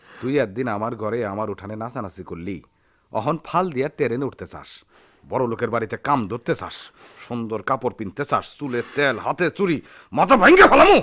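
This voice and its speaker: An actor playing a poor sharecropper husband, tender but hot-tempered, speaking rural Bangladeshi dialect in a theatrical radio drama manner. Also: male voice, medium pitch, no room sound. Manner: theatrical, swinging from murmurs to shouts.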